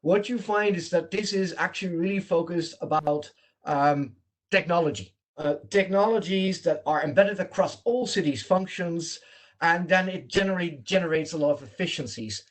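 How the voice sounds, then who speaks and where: A man's voice: high voice, normal speed. Dutch-accented man giving a training talk. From Netherlands. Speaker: presenter and trainer. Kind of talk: online training presentation. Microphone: video call.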